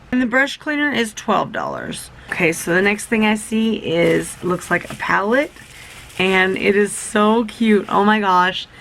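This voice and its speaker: Chatty young woman beauty vlogger, a bit sick and gravelly, talking fast and rambling. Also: medium pitch, fairly bright tone, slight background noise. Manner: rambling, self-interrupting, enthusiastic. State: a little sick, losing her voice. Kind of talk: chatty product unboxing.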